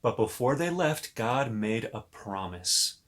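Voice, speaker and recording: A man reading a children's story aloud as a pastor, pausing to talk directly and expressively to young listeners. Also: medium pitch, expressive, fairly bright tone.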